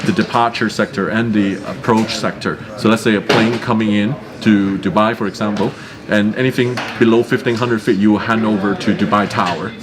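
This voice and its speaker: Keen male presenter, slightly low voice. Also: fairly even intonation, a little fast, very noisy. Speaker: presenter and interviewer. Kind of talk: conversational on-site interviewing. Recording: background chatter behind voice.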